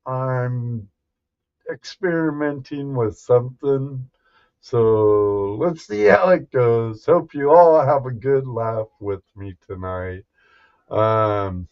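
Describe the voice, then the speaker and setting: A man hosting a casual livestream, greeting viewers one by one in a folksy, halting way. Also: mid-range voice, expressive. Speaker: livestream host. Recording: dull, lo-fi livestream audio.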